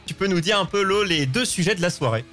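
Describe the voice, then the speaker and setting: A man with a fairly high voice presents a casual live show. He talks informally and jokingly, like a chatty host. Faint music plays behind him. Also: expressive, fast talker, a bit bright.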